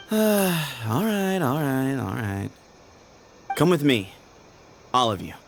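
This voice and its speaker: Sly, very animated group leader. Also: female voice, very deep voice, slow, slight background noise, full character voice. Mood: teasing. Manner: teasing, casual, swaggering. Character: sly, breezy, confident leader of secretive group. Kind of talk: video game voice acting.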